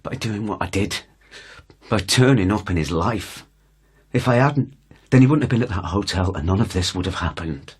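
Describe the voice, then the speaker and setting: A man playing a weary, defensive suspect under questioning in a naturalistic radio drama, answering haltingly. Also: deep voice, animated, breathy edge. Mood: resigned. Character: defensive, weary murder suspect insisting he's someone's son.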